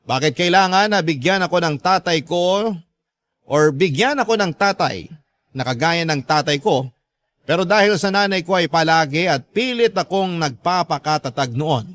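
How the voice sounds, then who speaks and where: A man's voice: a little high, animated, normal speed, fairly bright tone, no room sound. A man playing a grateful, hard-tried young letter sender, narrating a radio drama in a heartfelt, confessional way with a Filipino accent. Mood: pained, determined.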